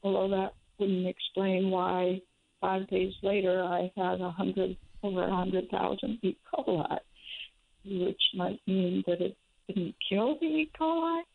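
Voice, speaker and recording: A woman calls in over a thin, tinny phone line, her voice hoarse with a little gravel to it. She talks carefully and haltingly, working through the details at a mid pitch. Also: steady tone. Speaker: caller.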